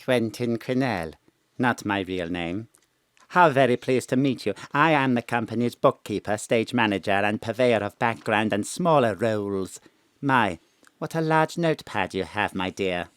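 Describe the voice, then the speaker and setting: A languid, sinister theatre bookkeeper, played by a man in an audio drama, arch and mannered with a drawl. His voice is smooth and resonant. The sound is dry and close. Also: fairly low pitch, normal speed, no echo, lightly acted. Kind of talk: live remote audio drama acting. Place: home studio.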